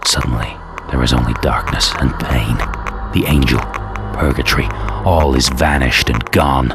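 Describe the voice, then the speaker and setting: Super deep brooding game antihero, damned and bitter, dramatic narration over loud music. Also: male voice, steady tone, moderate pace. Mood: grim awe. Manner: brooding, intense, theatrical. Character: damned, vengeful male antihero, bitter and doomed, recounting his fate. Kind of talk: dramatic first-person game cutscene narration.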